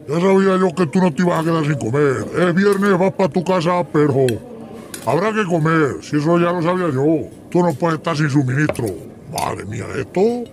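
A man's voice: on the high side, moderate pace, noisy background. A man giving joking, teasing commentary while someone eats. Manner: joking, ribbing the eater.

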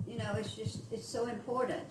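A woman in a home Bible study group sharing her thoughts earnestly, pausing often to find words, recorded by a camera in a living room. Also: fairly high pitch, little pitch movement, a little mellow, slight background noise. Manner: earnest, halting with fillers. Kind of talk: casual group discussion. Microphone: far camera in the room. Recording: distant voice in echoey room.

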